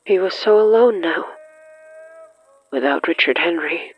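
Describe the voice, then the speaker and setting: Slow, measured narrator of dramatic horror fiction. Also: mid-range voice, expressive, dry recording, lo-fi sound, faint music behind. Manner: measured, deliberate, broken into short suspenseful phrases.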